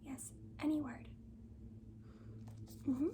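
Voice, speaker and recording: A woman doing a soft-spoken ASMR nurse roleplay, mostly in a breathy whisper, with a gentle, reassuring manner. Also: high-pitched, fairly even intonation, slight background noise. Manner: gentle, reassuring, slow and deliberate. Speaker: ASMR performer playing a school nurse.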